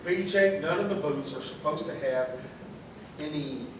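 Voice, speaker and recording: A man's voice: fairly high pitch, a little slow, lightly acted. An actor playing an exasperated, pedantic small-town councilman who speaks insistently in a comic radio play. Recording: echoey stage recording, distant mic, noise.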